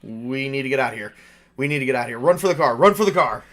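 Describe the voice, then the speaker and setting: A man's voice: medium pitch, fast talker, close to an everyday voice. Man acting a panicky college guy. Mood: urgent, panicky. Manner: excitable, urgent. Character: nervous college student on a cabin retreat, panicky.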